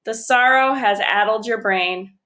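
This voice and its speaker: Woman reading as a bossy, bright-voiced know-it-all girl. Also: fairly high pitch. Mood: bossy, prim. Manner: brisk, matter-of-fact, corrective.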